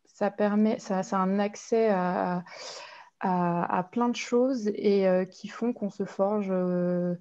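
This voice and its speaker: A woman's voice: mid-range voice, steady tone, takes it slowly, warm tone, muffled recording. A woman, a guest artist, answering press questions on a video call, hesitant and full of fillers and restarts. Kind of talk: online press conference answers. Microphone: video call mic.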